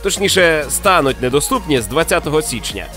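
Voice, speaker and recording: Animated, sarcastic male news host, music behind. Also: fairly high pitch, a little fast. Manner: sarcastic, opinionated, theatrical. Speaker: co-host of a video game news show. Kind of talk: scripted banter and news narration.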